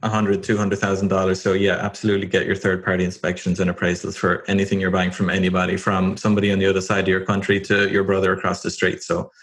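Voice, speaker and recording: A man with a fairly low voice hosts a podcast interview in a flat, level tone. He is agreeable and measured and often answers with short affirmations. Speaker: podcast host. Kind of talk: interview conversation.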